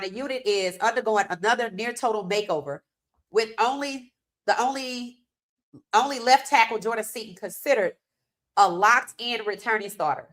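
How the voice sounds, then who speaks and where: A woman hosts a sports podcast in a loose, conversational way, talking straight to her audience. She is a little congested from a cold, which gives her voice a stuffy edge. Her pitch sits in an ordinary middle range. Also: a bit bright. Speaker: podcast host. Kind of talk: casual sports commentary.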